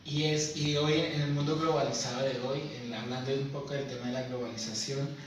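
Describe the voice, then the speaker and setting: A man moderating an academic panel in a medium-pitched voice. He speaks in a measured way, sometimes pausing to restart a phrase. He is picked up from a distance in a very echoey room with faint background noise. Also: fairly even intonation. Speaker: discussion moderator. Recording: echoey room, far from the mic.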